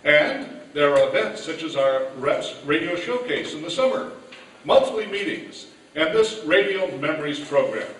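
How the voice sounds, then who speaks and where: A man's voice: a little high, animated, normal speed, a bit bright. A man reading scripted announcements and narration in an old-time radio announcer style on a live stage. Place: large event hall, live stage. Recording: echoey hall, distant mic, faint noise.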